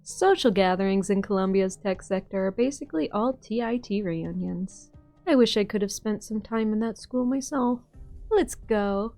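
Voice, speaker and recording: A woman playing a poised, curious and quietly scheming boss in an amateur game fan dub. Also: mid-range voice, normal speed, soft background music, natural voice. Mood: cool, musing.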